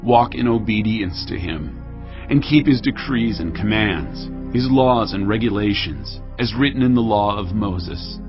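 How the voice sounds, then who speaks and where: An actor playing an aged, solemn and commanding king near death, speaking gravely and deliberately in a dramatised audio Bible. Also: on the low side, animated. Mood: grave, fatherly. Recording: dull lo-fi audio with music behind.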